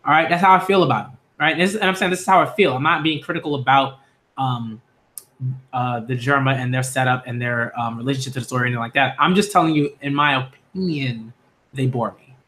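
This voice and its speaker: Guy with a bright edgy voice just rambling, lots of um and like, keeps correcting himself. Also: mid-range voice, fast. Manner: rambling, filler-heavy, self-correcting; stumbles when reading names aloud. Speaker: manga reaction host. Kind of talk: casual live reaction chat.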